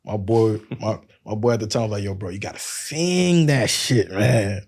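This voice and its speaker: A man with a deep voice and a lisp, telling loose stories on a casual podcast and acting out quoted lines. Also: normal speed, a little mellow, slightly rough. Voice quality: says he has a lisp. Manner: loose storytelling, acts out quotes, laughs often. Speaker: podcast cohost.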